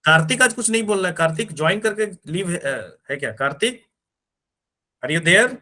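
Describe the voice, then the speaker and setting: Lively male physics teacher. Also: high-pitched, moderate pace. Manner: explains step by step, often checks students understand. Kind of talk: online class lecture.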